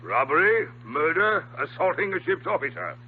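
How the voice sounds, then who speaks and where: An actor playing a stern, accusing ship's captain in a 1950s radio drama, clipped and authoritative. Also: male voice, fairly high pitch, very animated, moderate pace. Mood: stern, dismissive. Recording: tinny, noisy 1950s radio recording.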